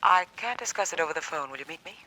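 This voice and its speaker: A woman's voice: low voice, expressive, sharp tone, a little hiss or hum. An actress playing a secretive, urgent woman seeking help, heard over a telephone in a 1940s radio drama. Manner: brisk, secretive.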